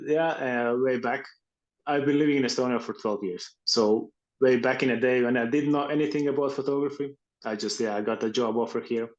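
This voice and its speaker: A man's voice: mid-range voice, dull audio. A man from Latvia, a guest photographer chatting casually in an interview and often restarting his sentences. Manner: hesitant, many restarts and fillers. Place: Estonia.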